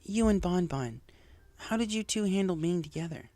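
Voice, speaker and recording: A woman's voice: fairly low pitch, animated, normal speed, mellow, no room sound, pitched above the performer's own voice, close to an everyday voice. A woman voicing a proud, assertive young mare pony who speaks in a defensive, argumentative way in a story. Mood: hesitant, sincere. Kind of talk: fan fiction character dialogue. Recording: music behind the voice.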